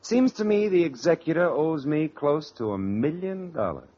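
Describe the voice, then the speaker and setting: An actor playing a smooth, cocky young American impostor in a breezy, teasing 1940s radio mystery style. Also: male voice, a little high, lively intonation, normal speed, warm tone. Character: smooth, cocky impostor posing as a long-lost brother. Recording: muffled vintage radio recording.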